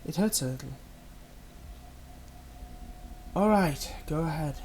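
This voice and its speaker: A man playing a manipulative, soothing male villain in an ASMR roleplay, speaking in a soft, coaxing and dramatic way with deliberate pauses. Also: a little high, expressive, a little hiss or hum. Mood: teasing, subdued. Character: manipulative, soothing male supervillain, tenderly possessive of his captive.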